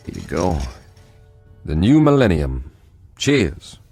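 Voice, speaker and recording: A wry, world-weary code breaker with a low, gravelly voice whose pitch moves a lot. He talks dryly and conversationally over faint music in an audio drama. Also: very animated, takes it slowly, darkish tone, heavily acted. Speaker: former code breaker, narrator of the story. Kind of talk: radio drama acting and narration.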